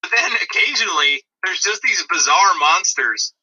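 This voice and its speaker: A man's voice: high voice, expressive, normal speed, sharp tone, husky, highs cut off. A man co-hosting a movie review podcast, chatting in a dry, disparaging way. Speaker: podcast co-host.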